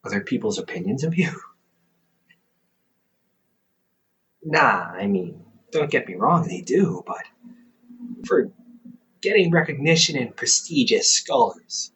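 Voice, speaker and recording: A man playing a teasing, reassuring older brother, a scholar in his thirties, in an intimate, casual audio roleplay with halting, conversational delivery. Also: mid-range voice, very animated, fairly bright tone, poor audio. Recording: hobby microphone with faint background noise.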